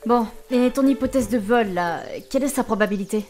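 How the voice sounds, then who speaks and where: A woman playing a talkative, expressive young potion student adventurer in an amateur fantasy audio drama, speaking informally. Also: on the high side, fairly quick, natural voice. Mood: puzzled, wary.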